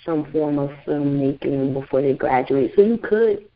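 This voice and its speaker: A woman's voice: sits low, expressive. Chatty young woman on muffled call-in audio. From Newark, New Jersey. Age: 20s. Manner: chatty, loose, with many fillers and quick laughs. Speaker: guest author and internet radio host. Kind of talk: casual phone interview chat.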